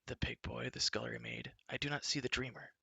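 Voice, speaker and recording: Man playing a hoarse bitter prince, low, scornful, gravelly, bright. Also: level tone, a little fast, no room sound, full character voice. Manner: cold, contemptuous, low-spoken.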